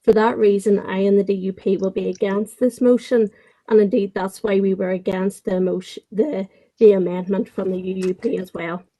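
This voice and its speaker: A woman's voice: mid-range voice, fairly even intonation, mellow, rough recording. Firm woman councillor. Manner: firm, measured, reads prepared points. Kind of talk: formal council debate speech.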